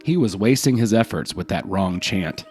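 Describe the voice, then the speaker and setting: A man's voice: sits low, lively intonation, moderate pace, darkish tone, soft background music. A man voicing an earnest, self-satisfied dervish in a folk tale, instructive and smug. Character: dervish in meditation, earnest, self-satisfied, easily annoyed corrector.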